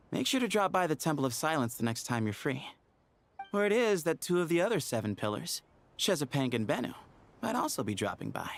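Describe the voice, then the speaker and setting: A man voicing a composed, friendly young desert temple guardian in a video game, with a storyteller's manner. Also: fairly high pitch.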